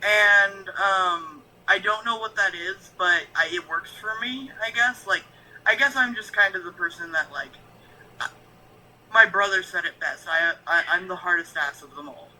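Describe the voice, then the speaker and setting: A man with a high, very bright and sharp voice, chatting frankly as a guest on a stream. He rambles and circles back on himself. Faint room ambience hums behind him. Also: moderate pace, a little hiss or hum, rough recording. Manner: rambling, frank, lots of fillers and restarts. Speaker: guest, YouTube reactor. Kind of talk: casual stream chat.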